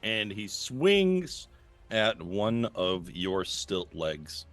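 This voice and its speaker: A man running a tabletop game as game master, narrating with relaxed chuckles. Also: fairly low pitch, very slow, soft background music.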